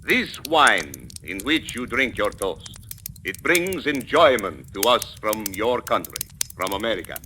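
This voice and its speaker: An actor playing a gracious, courtly Latin American host in a 1940s radio advert. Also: male voice, fairly low pitch, sing-song, unhurried, pushed and bright, heavily acted. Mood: gracious, formal. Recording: faintly crackly vintage radio recording.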